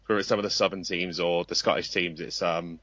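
A man guest on a podcast, speaking in a thoughtful, measured way. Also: sits low, steady tone, sharp tone, no room sound. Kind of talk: casual podcast chat. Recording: dry, slightly dull audio.

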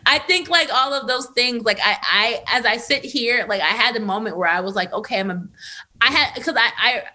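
A woman in her thirties, a podcast host, telling a candid personal story in a loose, animated way with lots of fillers. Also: fairly high pitch, normal speed. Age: 30s. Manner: animated, filler-heavy, laughs often. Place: Los Angeles area.

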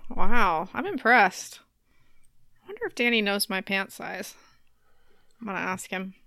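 A woman of average pitch talks casually as a podcast co-host, taking her time over her words. She is easily amused and recorded close and dry, with no room sound. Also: lively intonation, unhurried, sharp tone, no room sound. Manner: laughs readily. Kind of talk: casual chat.

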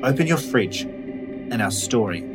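A man with a slightly husky voice, narrating a scripted audio guide in a conversational way that instructs the listener directly. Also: medium pitch, lively intonation, a little slow, music in the background.

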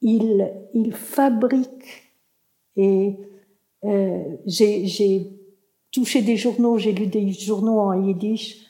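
Slow, thoughtful woman in a roomy space. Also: mid-range voice, steady tone, dark tone. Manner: reflective, searching for words. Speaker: translator and author guest. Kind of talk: panel conversation. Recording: roomy echo.

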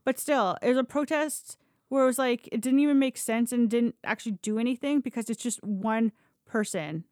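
Higher-voiced woman telling stories casually. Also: normal speed, a little mellow, no echo, studio quality. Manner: informal, blunt, swears freely. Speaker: podcast storyteller and host. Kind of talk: casual conversational storytelling.

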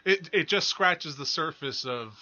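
A man's voice: on the high side, expressive, a little slow, sharp tone. A man co-hosting a movie podcast, casually analysing films and often correcting himself as he goes. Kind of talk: casual film discussion. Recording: slightly dull, lo-fi podcast audio.

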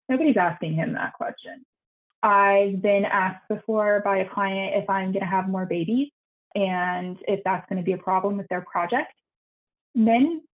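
A young woman architect answering interview questions on a video call, earnest and quick to repeat her words, her voice going high when she gets excited. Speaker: architect guest. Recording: tinny call audio.

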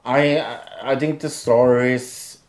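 A man's voice: medium pitch, fairly even intonation, slow, a little mellow. A man co-hosting a casual TV review show, teasing and hesitant, restarting his sentences often. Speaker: podcast co-host.

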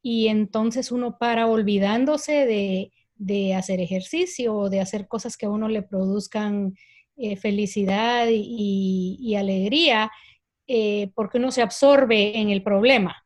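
A woman from Guatemala with a Guatemalan Spanish accent, speaking slowly and thoughtfully with frequent hesitations as a guest in a discussion. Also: mid-range voice, steady tone. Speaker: guest speaker on parenting and self-care. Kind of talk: casual discussion.

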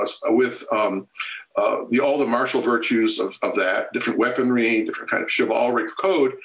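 A man answering interview questions at length in a measured, lecture-like way, with frequent pauses and fillers. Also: mid-range voice, a bit bright, echoey room, poor audio. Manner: measured and expository, many fillers and restarts. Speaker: film scholar guest.

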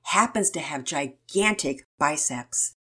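A woman voicing a wry, affectionate mother with a measured, teasing delivery in a children's story. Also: low voice, lively intonation, sharp tone, slightly rough, voice pushed down, exaggerated voice. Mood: wry, fond.

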